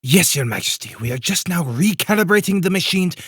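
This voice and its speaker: A man's voice: sits high, lively intonation, brisk, fairly bright tone, slightly rough, no echo, clean studio sound, heavily acted. A man voicing a servile, nervous lackey to an evil monarch in a comic dub, obsequious and hesitant. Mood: nervous, grovelling. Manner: obsequious, hesitant, excuse-making. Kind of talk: comic dub character acting.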